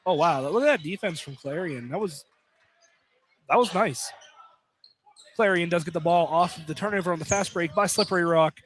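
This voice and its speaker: A man's voice: fairly high pitch, moderate pace, pauses often, fairly bright tone. A man calling live college basketball play-by-play in clipped phrases, naming players by number. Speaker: play-by-play announcer. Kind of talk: live basketball radio commentary. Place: indoor college field house, courtside. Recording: noisy live gymnasium broadcast.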